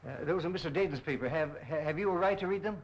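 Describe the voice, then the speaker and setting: A man's voice: a little high, very fast, lightly acted. A man playing a nervous, deferential office manager with a hesitant, stammering manner in a 1930s film. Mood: flustered. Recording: muffled, noisy 1930s film soundtrack.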